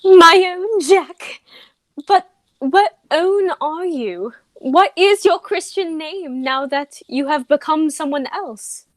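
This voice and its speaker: A woman's voice: very high-pitched, animated, takes it slowly, dry recording, heavily acted. A woman playing a romantic, self-assured young society lady in an amateur radio comedy, affected and dramatic. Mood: adoring, resolute.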